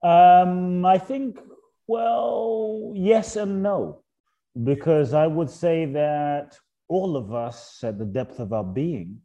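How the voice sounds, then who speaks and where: A middle-aged man from Ghana, a guest author speaking in a thoughtful, halting way about spiritual ideas on a podcast. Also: fairly high pitch, dark tone, dull audio. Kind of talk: reflective podcast conversation.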